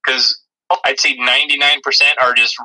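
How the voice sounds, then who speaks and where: A man's voice: mid-range voice, little pitch movement, normal speed, pushed and bright, poor audio. A man co-hosting a podcast in a casual, conversational review style. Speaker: podcast co-host. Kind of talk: casual movie review chat.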